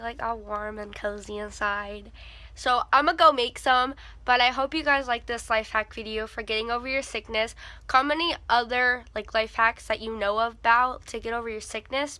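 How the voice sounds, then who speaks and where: A woman's voice: on the high side, fairly even intonation, moderate pace, bright, forceful tone, dry recording. A teenage girl with a cold and a congested nose, chatting casually to her channel from her bedroom, with lots of "like" fillers. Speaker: vlogger. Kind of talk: casual chat. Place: bedroom with a tapestry behind her.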